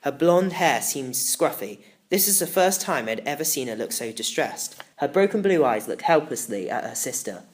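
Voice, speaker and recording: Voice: mid-range voice, animated, moderate pace. A narrator reading a first-person children's story as a scripted audiobook.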